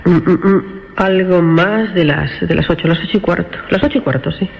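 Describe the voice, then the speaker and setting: A woman acting a brisk, teasing, skeptical visitor in a suspense radio drama, with a Castilian Spanish accent. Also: lowish voice, creaky, slight background noise. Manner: teasing, exclamatory, probing. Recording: dull radio sound, long reverb, music.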